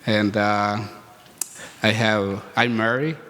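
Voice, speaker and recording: Man, low and flat, halting, telling his story in a reverberant hall. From Guatemala. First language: Mam. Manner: halting, searching for words. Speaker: workshop participant. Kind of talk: storytelling at a community workshop. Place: community hall, live event. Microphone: handheld mic.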